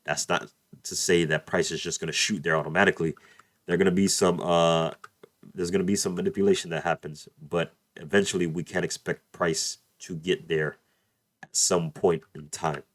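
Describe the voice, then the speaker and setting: A man with an extremely low, deep voice walks viewers through price charts, repeating his favorite praise words and phrases as he goes. He sounds a little off from a recent cold and talks into a desk mic at his computer. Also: fairly even intonation, moderate pace, dry recording. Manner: repeats "beautiful" and "let's play this out", addresses "you guys". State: just over a cold, voice a bit off. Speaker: trading tutor. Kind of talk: screen-share chart walkthrough.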